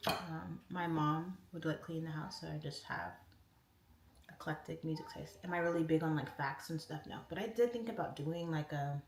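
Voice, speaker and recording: Woman livestream host, relaxed chatty tone, roomy echo, faint background hiss. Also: sits low, normal speed. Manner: reads out viewer comments and answers each one. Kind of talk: casual livestream chat. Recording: echoey room, faint noise.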